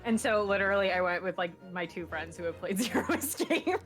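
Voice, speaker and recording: Brief: woman co-host, mid-pitch, rambling, laughing, faint music. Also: lively intonation, brisk. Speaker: co-host streamer. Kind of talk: casual chat. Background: game music.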